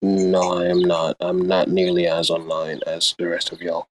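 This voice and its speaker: A man chatting casually and slangily as a guest, with hesitant pauses. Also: deep voice, monotone. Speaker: newcomer guest.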